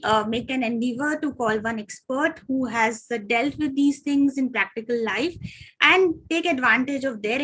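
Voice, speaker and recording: Indian woman hosting, slightly husky voice. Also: fairly high pitch, a bit bright, low-quality recording. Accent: Indian English. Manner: reads a formal introduction with frequent "uh" pauses. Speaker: moderator of an online radiology journal club. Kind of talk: formal online introduction. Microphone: video call.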